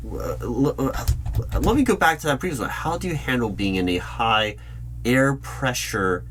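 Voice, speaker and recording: A man playing a stern, suspicious hiring manager at a secret bureau, questioning deliberately and insistently in a loud-spoken ASMR interview roleplay. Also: medium pitch, expressive. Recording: clear hum, computer keyboard typing noise. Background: keyboard typing.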